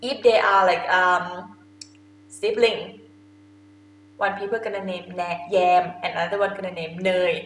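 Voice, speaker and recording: Young woman, Thai accent, fairly high pitch, informal lesson delivery, simple explanations, roomy sound with faint noise. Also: steady tone, a little fast. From Thailand. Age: young adult. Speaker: language teacher. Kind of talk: instructional lesson to camera. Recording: echoey room with faint noise.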